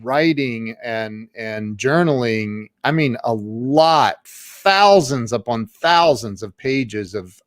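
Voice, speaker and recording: Middle-aged male guest, slow and rambling with restarts, clean smooth voice, dry close sound. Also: fairly low pitch, no room sound. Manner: rambling, laughs often, many restarts. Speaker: guest, entrepreneur. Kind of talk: casual interview talk.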